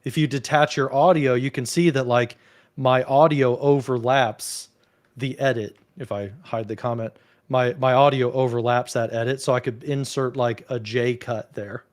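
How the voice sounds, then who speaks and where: A man's voice: mid-range voice, normal speed. A man hosting a casual chat, talking easily and filling his speech with "like" and "you know". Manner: relaxed, chatty, peppered with "like" and "you know". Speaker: host. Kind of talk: casual chat on a video call.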